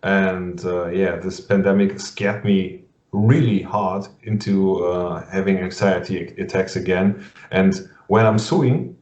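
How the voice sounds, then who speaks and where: A man with a German accent interviewing a guest over a video call in English, halting and full of fillers and restarts, laughing often. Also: low voice, steady tone, darkish tone. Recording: muffled lo-fi sound in an echoey room.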